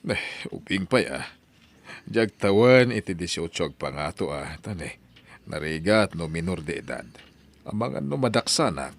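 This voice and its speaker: A man's voice: sits low, very animated, normal speed, vocal fry, exaggerated voice. A man playing a well-off, calculating employer in a drama, speaking with authority. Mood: doubtful, cautious. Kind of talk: Ilocano radio-style drama acting.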